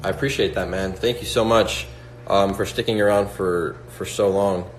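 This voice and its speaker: Young guy on a livestream, lowish voice, echoey room with a faint hum. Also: fairly even intonation, moderate pace. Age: 20s. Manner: motivational, reads out chat questions, many fillers like "like" and "right?". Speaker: livestream host. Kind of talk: casual livestream chat with viewers.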